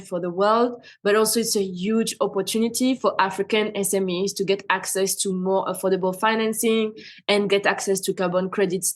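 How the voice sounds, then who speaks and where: A woman from France speaking as an interview guest, explaining her work in conversational answers. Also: medium pitch. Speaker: interview guest, fintech founder and CEO.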